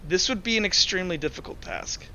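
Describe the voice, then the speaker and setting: A man's voice: high-pitched, animated, quick pace, pushed and bright, a little hiss or hum, rough recording. A man acting as game master, narrating a tabletop roleplaying game in an informal, hesitant, wordy way.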